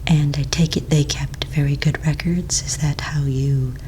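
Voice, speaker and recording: Woman as patient ASMR office manager: breathy near-whisper, slow and unhurried, courteous form-filling manner, keys clicking behind. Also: deep voice, steady tone. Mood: patient, businesslike. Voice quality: soft, breathy, half-whispered. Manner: gentle, unhurried, hesitant official questioning. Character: patient, polite female office manager registering fantasy pets. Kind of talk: soft-spoken ASMR roleplay. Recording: close mic, faint noise, keyboard clicks. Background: computer keyboard typing and clicks.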